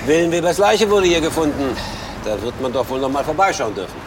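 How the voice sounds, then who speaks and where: An actor playing a dutiful, easily exasperated police detective, polite and matter-of-fact in a German audio drama. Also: male voice, on the high side, fast talker, noisy background, close to an everyday voice. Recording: music behind the voice.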